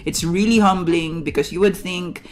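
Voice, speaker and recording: Woman streamer, low voice, slow rambly chat with lots of ums, faint lofi music behind. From Philippines. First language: Tagalog. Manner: rambling, halting, many fillers and restarts. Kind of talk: casual chat to stream viewers.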